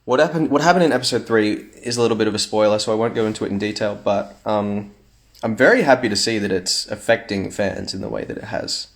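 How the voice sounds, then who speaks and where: Young man, casual livestream chat, slightly low voice, relaxed, playful asides, phone mic at home. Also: fast talker, creaky. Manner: relaxed, chatty, reads comments aloud with playful asides. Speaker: livestream host answering fan comments.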